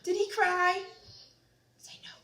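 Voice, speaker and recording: A woman's voice: extremely high pitch, flat delivery, slow, fairly bright tone. A woman reading a picture book aloud to her young child in a sing-song, coaxing way, asking questions as she goes. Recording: roomy, noisy home recording.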